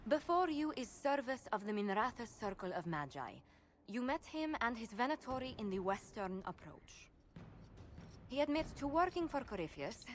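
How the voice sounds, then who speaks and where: A woman's voice: fairly high pitch, a little hiss or hum, lightly acted. An actress voicing a poised female ambassador who speaks formally and with composure.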